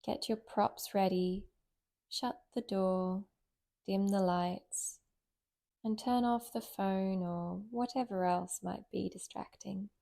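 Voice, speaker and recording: Slow, mellow woman guiding meditation. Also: medium pitch, fairly even intonation, vocal fry. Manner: gentle, soothing, unhurried with long pauses. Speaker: meditation guide, yoga nidra teacher. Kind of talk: guided relaxation meditation.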